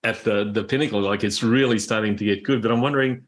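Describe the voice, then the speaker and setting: A man's voice: lowish voice, fairly even intonation, quick pace. A man co-hosting a podcast interview, asking a long question while hesitating and stumbling over words. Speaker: podcast co-host.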